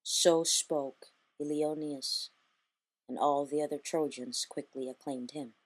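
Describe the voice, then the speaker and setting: A woman reading an epic poem aloud as a bedtime story, slow and deliberate. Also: sits low, fairly even intonation. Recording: echoey, long hall reverb.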